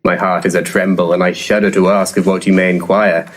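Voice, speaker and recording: A man's voice: low voice, level tone, fairly quick, slight background noise, natural voice. An actor playing a pompous, verbose male paranormal investigator in a comedic audio drama, florid one moment and crude the next. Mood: gushing, florid. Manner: grandiloquent, verbose.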